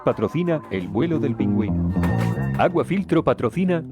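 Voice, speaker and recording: A man's voice: mid-range voice, big pitch swings, moderate pace. A man reading scripted sponsor announcements as an advertisement announcer. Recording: loud guitar music over voice. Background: loud guitar music.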